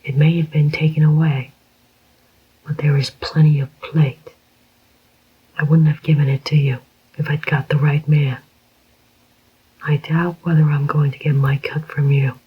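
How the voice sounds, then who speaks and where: Calm scheming club boss guy, all breathy whisper, slow flat delivery, whispered by a reader, dull lo-fi sound. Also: a little high. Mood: cool. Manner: unvoiced whisper, slow and even. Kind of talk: whispered audiobook reading. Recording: dull lo-fi recording.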